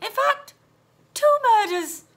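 A woman acts out an anxious young girl from a children's storybook. Her voice is high and bright, and she pleads politely, halting and earnest as she goes. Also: animated, heavily acted. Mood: hesitant. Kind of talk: children's storybook read-aloud.

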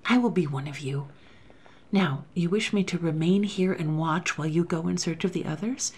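A woman's voice: lowish voice, normal speed, husky. A woman reading the part of a nervous male accomplice who whispers eager, worried questions. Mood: anxious. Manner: hushed, excited, questioning.

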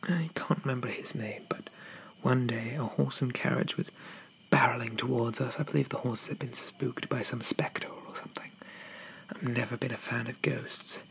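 Man playing a shy, whispering, creaky zombie gentleman. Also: medium pitch, steady tone, moderate pace, background noise, close to an everyday voice. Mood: wistful, hesitant. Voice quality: breathy, often whispered. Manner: hesitant, apologetic, softly murmured, old-fashioned politeness. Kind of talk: whispered ASMR roleplay.

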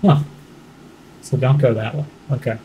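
A man's voice: medium pitch, fairly even intonation, moderate pace, lo-fi sound, slight background noise. A man giving casual gameplay commentary, muttering in short, clipped phrases. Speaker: gamer playing through a campaign.

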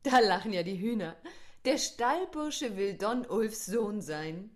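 A woman's voice: mid-range voice, lively intonation, slow. Haughty, scornful lady played by a woman. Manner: haughty, mocking. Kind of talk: German audio drama acting.

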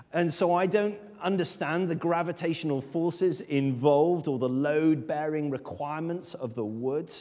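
A man's voice: on the high side, a little mellow. A man in his thirties preaching a sermon at a lectern in a church, earnest and emphatic. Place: church sanctuary, speaking at a lectern. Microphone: far camera. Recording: long church reverb.

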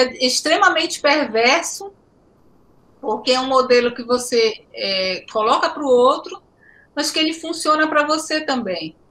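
A high, bright woman's voice from Pará, Brazil, a professor in her forties talking from home over a laptop webcam on a video call. She is reflective and explanatory, doubling words as she works through an idea. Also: rough recording. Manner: reflective, explanatory, repeats words while searching. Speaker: education professor, guest. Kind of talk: academic interview answers. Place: home room indoors.

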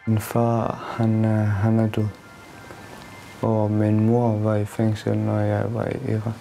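Young afghan guy, deep, very flat, gravelly, soft music. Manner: halting, plain phrasing. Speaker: young refugee interviewee.

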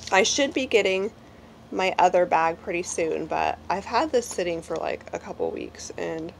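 Congested woman in her forties, gravelly. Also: lowish voice, animated, normal speed, slight background noise, poor audio. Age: 40s. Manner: chatty, rambling, lots of fillers. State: congested and nasal from a cold. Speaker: beauty vlogger. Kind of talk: casual product review. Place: small indoor room at home.

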